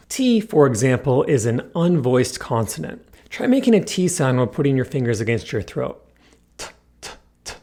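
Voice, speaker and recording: A man narrating a scripted pronunciation lesson, explaining clearly and demonstrating sounds with care. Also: medium pitch, darkish tone. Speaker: language teacher.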